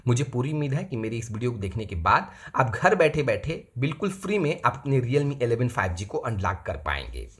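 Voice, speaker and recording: A man's voice: mid-range voice, sing-song, moderate pace, hardly any pauses, a bit bright, slightly rough. A man hosting a step-by-step phone repair tutorial, talking to viewers as friends and repeating each step. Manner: repetitive, addresses viewers as friends. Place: indoors at a table, hands-only camera view.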